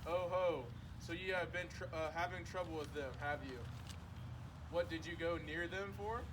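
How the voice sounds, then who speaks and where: Distant and set in clear outdoor noise, a man acts a huge, gruff man of the wild in an amateur stage reading. His fairly high male voice is suspicious and blunt. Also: steady tone, moderate pace, natural voice. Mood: gruff, curious. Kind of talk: amateur readers' theater reading. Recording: distant, noisy outdoor recording.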